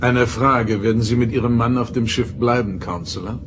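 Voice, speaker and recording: A man playing a dignified, reflective starship captain with a formal, measured delivery in a German radio play. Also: mid-range voice, steady tone, noisy background, natural voice. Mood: formal, calm.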